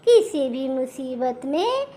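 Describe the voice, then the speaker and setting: A woman tells a children's story in a very high, almost childlike pitch. She takes it slowly, delivering scripted narration as a storyteller. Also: sing-song, dark tone, a little hiss or hum.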